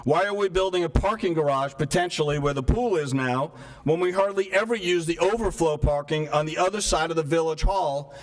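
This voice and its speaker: Soft-spoken man questioning a town council. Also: fairly high pitch, brisk, low-quality recording. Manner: earnest, fires off rhetorical questions. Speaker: resident speaking at public comment. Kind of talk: public comment at a town meeting. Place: town hall meeting room. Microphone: microphone stopped working partway.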